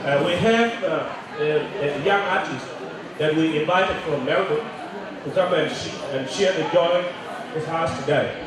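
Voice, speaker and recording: A man presenting at a community event, announcing the next act with many pauses and fillers. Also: a little high. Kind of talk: stage announcement. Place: large echoey hall at a live event. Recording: echoey hall from far off, crowd noise.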